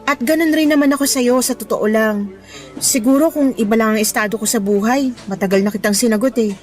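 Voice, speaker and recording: Actress as high-voiced, ambitious young working woman. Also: brisk, a little mellow, natural voice. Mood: torn, frank. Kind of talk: radio romance drama acting. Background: background music.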